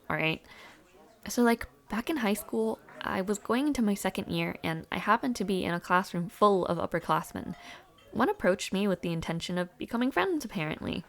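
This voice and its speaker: A young woman playing a friendly university student chatting informally with her dormmate in an audio roleplay, gossipy and sometimes hesitant. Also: on the low side. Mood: recounting, hurt. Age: young adult. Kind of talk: casual conversational audio roleplay.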